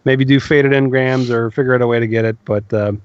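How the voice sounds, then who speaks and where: A man's voice: mid-range voice, fairly even intonation, a little fast, clear, resonant tone, no room sound, highs cut off. A man co-hosting a gaming podcast from a closet, telling rambling stories and laughing at himself. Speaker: podcast co-host. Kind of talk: casual podcast chat.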